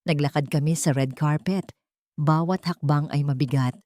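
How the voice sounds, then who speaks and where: A woman's voice: deep voice, moderate pace, darkish tone, dry recording. A woman narrating a scripted first-person dramatic story as an audiobook reader.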